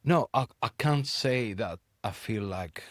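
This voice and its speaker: A man with a Greek accent co-hosting a podcast, chatting casually and getting animated, his voice climbing high when worked up. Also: a little slow, no room sound, clean studio sound. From Greece. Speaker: podcast co-host. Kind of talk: casual chat.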